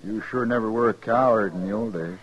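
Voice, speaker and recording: An actor playing a bitter, menacing gunslinger in a 1950s radio western, with an American accent and folksy speech. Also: male voice, on the low side, a little fast, lightly acted. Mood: taunting, cold. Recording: noisy 1950s radio recording.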